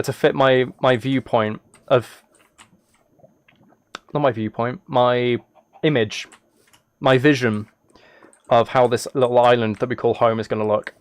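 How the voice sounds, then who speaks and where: A man's voice: mid-range voice, dry recording. A man chatting casually to viewers as he livestreams a game, playful and teasing, drifting into rambling asides. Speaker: livestreamer and host. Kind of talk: casual livestream chat while playing a video game. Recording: clear noise with key clicks and typing. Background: keyboard typing and clicks.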